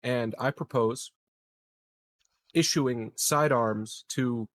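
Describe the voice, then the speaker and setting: Slow, deliberate man playing a tabletop game. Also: mid-range voice. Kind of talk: casual game role-play.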